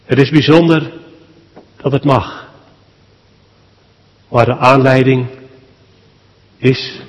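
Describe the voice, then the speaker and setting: A man, a pastor, leads a solemn public prayer at a church service in short, measured phrases with deliberate pauses. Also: mid-range voice. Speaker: pastor leading a funeral service. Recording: long church hall reverb.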